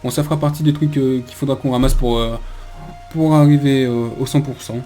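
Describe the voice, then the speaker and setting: A man's voice: mid-range voice, fairly even intonation, brisk, dark tone, faint music behind. A man giving casual video game commentary, thinking aloud in a hesitant way. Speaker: video game let's player. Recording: faint background noise.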